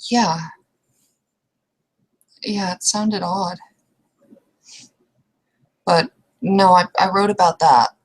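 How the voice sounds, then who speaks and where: A woman's voice: lowish voice, fairly even intonation, very slow, long pauses, low-quality recording. Woman playing a hesitant, curious, distracted young-hearted woman. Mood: puzzled. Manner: hesitant, questioning. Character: quiet, distracted, naively curious woman, lost in thought. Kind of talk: improvised in-character roleplay dialogue.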